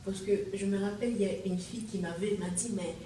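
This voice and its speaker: A woman's voice: mid-range voice, fairly even intonation, fast talker, warm tone. A woman from Haiti with a Haitian French accent, hosting a conversational interview in a chatty, rambling way, often reacting with interjections. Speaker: host. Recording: distant mic in echoey room with background noise.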